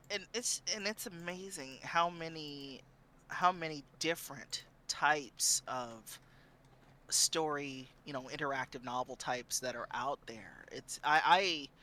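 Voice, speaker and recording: A man chatting casually as a streamer on a panel, acting out reactions in an animated way. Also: mid-range voice, slow.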